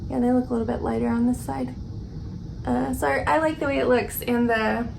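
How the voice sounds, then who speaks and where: A young woman from Oklahoma hosting a casual knitting vlog at home, relaxed and slightly scratchy from allergies, with lots of ums and soft laughs. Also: a little high, brisk, gravelly. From Oklahoma, United States. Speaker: knitting podcast host. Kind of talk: casual chat to camera. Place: at home, indoors.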